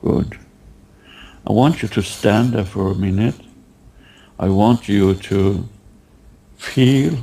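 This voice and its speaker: A man leading a guided meditation, giving halting, unhurried instructions and asking gentle questions. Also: lowish voice, vocal fry, breathy edge.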